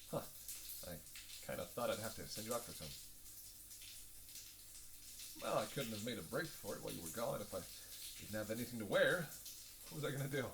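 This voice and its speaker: A man's voice: medium pitch, background noise, lightly acted. A man playing a sardonic, playful vampire who banters sarcastically with his hunter in an audio roleplay. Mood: teasing. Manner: sarcastic, playful, drawling asides with sighs and scoffs. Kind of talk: audio roleplay acting, one-sided dialogue.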